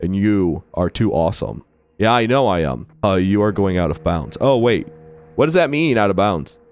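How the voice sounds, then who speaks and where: Male let's-play host, lively swinging voice, playful rambler, voice still recovering, faint music behind. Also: low-pitched, normal speed. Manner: playful, rambling, self-mocking. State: recovering from losing his voice. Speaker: gaming channel host.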